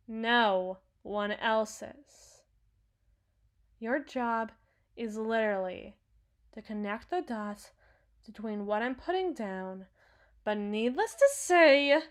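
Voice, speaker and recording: A woman playing a cynical, menacing henchwoman with a hidden soft spot in an ASMR roleplay, brooding and sardonic, sometimes sinking toward a whisper. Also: medium pitch, lightly acted. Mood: boastful, menacing. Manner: slow, brooding, sardonic, dropping into breathy whispers. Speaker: audio roleplay performer.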